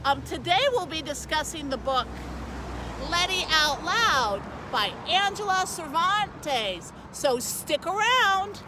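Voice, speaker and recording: A woman's voice: high voice, expressive, slow pace, noisy background. A woman speaking as a youth librarian, presenting and reading a children's book aloud, recorded on location.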